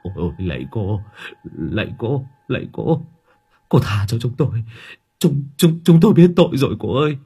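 A man's voice: mid-range voice, lively intonation, moderate pace, dark tone, slightly rough, soft background music. A man voicing a terrified villager who grovels and begs for mercy, stammering and repeating his pleas. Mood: panicked pleading. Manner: stammering, repetitive pleading. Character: terrified grovelling men begging a girl for mercy.

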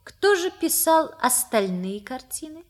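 An actress playing a well-bred daughter of the house in an audio play, polite but pointed and probing. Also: female voice, high voice, big pitch swings, a little slow. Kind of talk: theatrical radio play acting.

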